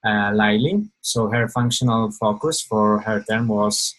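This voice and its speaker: A man's voice: fairly low pitch, measured pace, fairly bright tone, poor audio. A young man from Poland, giving an informal webinar presentation over a video call, hesitant with many fillers and restarts.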